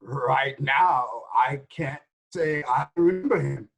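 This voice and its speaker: A man playing a reluctant, evasive old shepherd in a Greek tragedy reading, with a husky voice and halting, guarded delivery. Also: mid-range voice, a bit bright, exaggerated voice.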